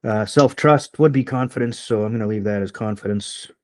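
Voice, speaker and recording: A man's voice: lowish voice, fairly quick. A man hosting a podcast in casual conversation, rambling and swearing often, with a slight rural twang. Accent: slight rural Canadian twang. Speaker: podcast host.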